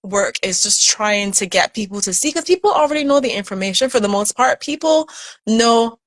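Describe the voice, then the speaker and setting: A woman's voice: fairly high pitch, lively intonation, fairly quick. A woman from Barbados with a Barbadian accent, a guest coach on a video call, explaining ideas earnestly with casual likes and restarts. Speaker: guest, burnout recovery coach. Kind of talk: casual conversation.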